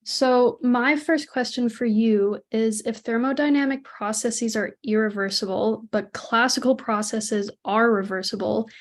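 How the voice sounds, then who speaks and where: A young woman co-host with a slightly high voice, putting polite, clearly read questions to a guest. Her manner is courteous and measured. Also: steady tone. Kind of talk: interview questions.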